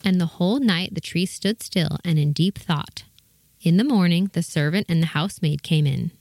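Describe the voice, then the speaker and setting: A woman's voice: on the low side, moderate pace, vocal fry, darkish tone. A woman giving scripted narration of a children's storybook tale.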